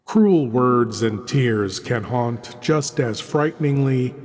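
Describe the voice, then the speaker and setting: A man hosting a horror show in a theatrical, spooky style with ominous, drawn-out delivery. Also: medium pitch, darkish tone. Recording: long cavernous reverb. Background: music.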